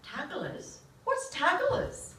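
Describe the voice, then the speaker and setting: A woman's voice: very high-pitched, huge pitch swings, slow, pushed and bright, exaggerated voice. A woman playing a chatty, scandal-loving gossip with an exaggerated manner in a live stage comedy. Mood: gossipy. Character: chatty, scandal-loving gossiping female shopper or checkout worker. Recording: echoey theatre, distant microphone.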